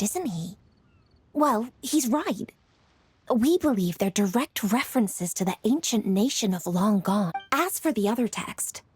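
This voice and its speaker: A woman's voice: mid-range voice, darkish tone, slightly rough, no echo. A woman playing a poised, dutiful young female official in a video game, speaking formally and articulately. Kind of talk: scripted video game dialogue.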